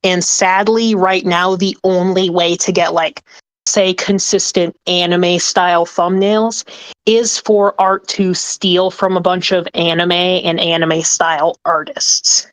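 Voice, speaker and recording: A woman's voice: lowish voice, level tone, slow, no room sound. A woman on a group podcast talking about her work as an ASMR creator, earnest and rambling, with many fillers. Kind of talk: casual group discussion.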